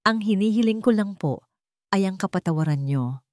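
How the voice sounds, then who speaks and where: A woman's voice: medium pitch, animated, moderate pace, a little mellow, no room sound, studio quality, voice pushed up, natural voice. A woman voicing a repentant grown son in an audio drama, pleading humbly and respectfully in his letter. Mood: pleading, hopeful.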